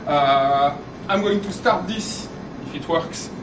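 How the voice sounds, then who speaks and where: A man's voice: on the high side, background noise. French-accented man giving a live talk. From France. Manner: fast-talking, halting with frequent restarts. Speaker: presenter at a developer meetup. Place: meeting room. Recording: echoey room, far from the mic, loud air conditioning.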